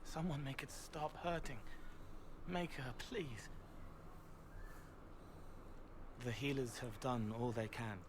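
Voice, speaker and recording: A man plays a gentle, haunted spirit in a young man's shape for a video game. He speaks slowly and earnestly in halting little phrases. There is clear noise behind the voice. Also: medium pitch, close to an everyday voice. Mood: pained, pleading.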